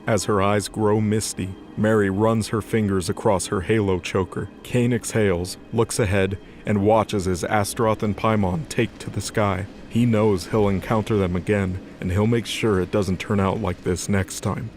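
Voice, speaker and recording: Low-voiced male narrator. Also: fairly even intonation, normal speed, hardly any pauses, a little mellow, a little hiss or hum. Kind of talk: scripted story narration.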